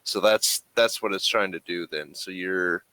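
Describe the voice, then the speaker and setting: A man running a tabletop game as game master, talking casually and haltingly as he thinks rules through aloud. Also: deep voice, fairly even intonation, sharp tone, low-quality recording.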